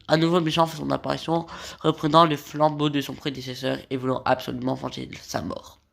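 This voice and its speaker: Gravelly-voiced man with a cold. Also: mid-range voice, fairly bright tone. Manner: reading a plot summary, stumbling and correcting himself. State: has a cold. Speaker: video creator. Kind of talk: series recap narration.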